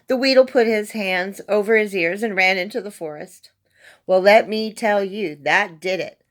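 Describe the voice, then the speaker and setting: A woman's voice: medium pitch, normal speed. A woman reading a children's story aloud as narrator, expressively and with dramatic emphasis on key words. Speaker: storybook reader.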